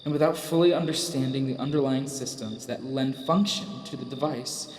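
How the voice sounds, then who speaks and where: A man's voice: medium pitch, normal speed, slight background noise. An actor playing a frail, courteous male professor whose voice speaks straight into others' minds, with a reverb effect on it in an audio drama. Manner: courteous, precise, scholarly. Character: frail hairless male scholar speaking mind to mind. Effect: reverb on the telepathic voice. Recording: roomy hall-like reverb.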